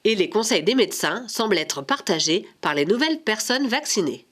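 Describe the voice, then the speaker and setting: A woman narrating a local news report in a measured, scripted voice-over. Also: mid-range voice, big pitch swings.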